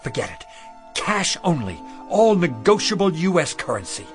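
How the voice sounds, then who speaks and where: An actor playing a weary, struggling shopkeeper in a radio drama, insistent and hungry for riches. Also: male voice, a little high, sing-song, normal speed. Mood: firm, demanding. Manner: insistent, intense, blunt. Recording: music under the dialogue.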